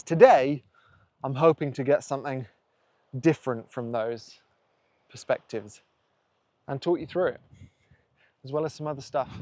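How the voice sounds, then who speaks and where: A man hosting his own photography channel, thinking aloud in a relaxed, musing way as he works a scene outdoors on a windy coast. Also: medium pitch. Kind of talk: casual vlog narration on location.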